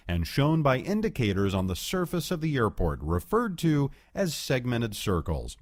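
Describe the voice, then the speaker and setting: Guy doing training video narration, super lively pitch swings, dry close sound, explains stuff step by step. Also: no echo. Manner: clear, measured explaining. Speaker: instructional narrator. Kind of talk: scripted educational narration.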